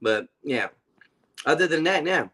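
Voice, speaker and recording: A man's voice: a little high, lively intonation, a little slow, sharp tone. A man in his forties hosting a live-stream panel, talking bluntly and confrontationally and swearing freely. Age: 40s. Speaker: stream host.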